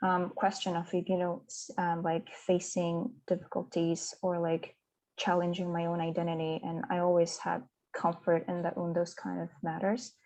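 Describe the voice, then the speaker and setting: A woman's voice: on the low side, completely flat delivery, normal speed, mellow, rough recording. A woman from Mongolia, speaking as a conference panelist in a hesitant, reflective way with many fillers and restarts. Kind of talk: reflective personal talk.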